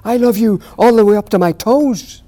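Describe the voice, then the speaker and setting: A man voicing a small, eager young male hare who loves his father, speaking in a high, excited voice. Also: normal speed, exaggerated voice. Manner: high excited squeaky delivery.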